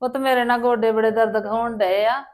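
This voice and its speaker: A woman's voice: a little high, steady tone, moderate pace, dry recording, clean studio sound, natural voice. A woman playing a scolding mother-in-law with aching knees. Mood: weary. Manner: scolding, then gentle. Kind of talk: family drama acting.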